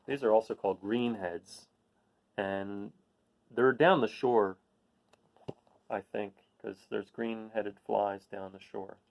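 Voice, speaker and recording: A man's voice: on the low side, steady tone, slow pace, creaky, pauses often, low-quality recording. A man hosting a read-aloud, reading a children's picture book and adding casual, explanatory asides for young listeners.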